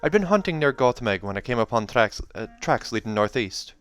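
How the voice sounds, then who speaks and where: A man's voice: mid-range voice, very animated, a little fast, a bit bright, no room sound. A man voicing a fierce, guarded young huntress in a fantasy storybook, curt and cool, with a put-on accent. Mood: matter-of-fact.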